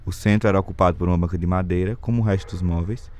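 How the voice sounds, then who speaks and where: A man's voice: sits low, steady tone, rapid-fire, darkish tone. A man with a Brazilian accent narrating a period novel as scripted audio drama.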